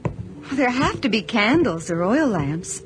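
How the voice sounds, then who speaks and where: Casting: nervy young woman lost in the snow, highly animated, higher voice, horror radio play with noisy sound. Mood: uneasy. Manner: halting, exclaiming, wisecracking. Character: nervous, chatty young woman stranded in snow. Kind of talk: 1980s radio horror drama acting. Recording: noisy archived radio drama.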